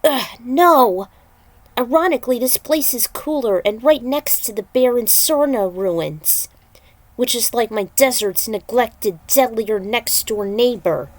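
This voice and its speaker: Exasperated story adventurer, played by a woman, whiny, disgusted, raised pitch. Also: lively intonation, unhurried, dry recording.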